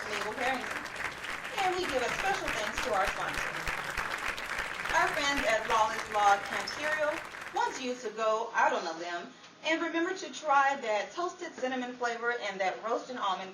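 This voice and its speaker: A woman announcing radio show credits, somewhat halting as she reads out names. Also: a little high. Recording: echoey room, distant mic, crowd and noise.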